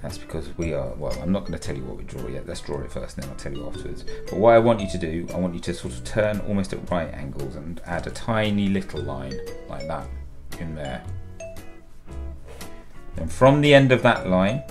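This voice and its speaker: A man's voice: deep voice, expressive, brisk, over music. A man in his fifties, a children's author and illustrator, cheerfully talking young children through a drawing step by step from his home studio. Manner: upbeat and encouraging, talks children through each step.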